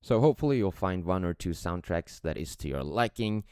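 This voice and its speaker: A man speaks in a very low, smooth, clear voice, casually addressing listeners as a composer. The recording is close and dry. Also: lively intonation, brisk, darkish tone, dry recording. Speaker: music composer. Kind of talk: casual prepared self-introduction and thanks.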